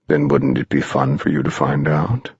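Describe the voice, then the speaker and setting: A man voicing an eerie hooded spectral figure who speaks slowly and solemnly. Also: extremely low pitch, vocal fry, breathy edge, dry recording, highs cut off, pitched below the performer's own voice, heavily acted. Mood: ominous. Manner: slow, hushed, solemn.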